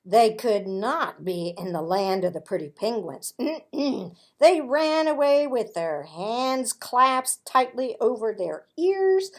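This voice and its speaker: A woman reading a children's story aloud expressively, with a playful, dramatic delivery and pauses. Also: a little high, animated. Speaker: storybook read-aloud host.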